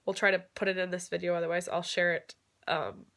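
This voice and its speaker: A woman's voice: on the low side, steady tone, fairly quick, a bit bright. A young woman with a cold, sounding stuffed up, chatting casually to her vlog viewers with lots of fillers. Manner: chatty, many fillers, occasional laughs. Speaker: vlogger.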